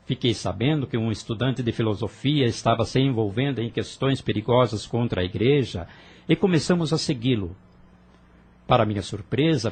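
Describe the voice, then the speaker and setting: A man's voice: medium pitch, breathy edge, natural voice. A man playing an earnest, idealistic young rebel who argues passionately with his sister in an audio drama. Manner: fervent, persuasive, earnest.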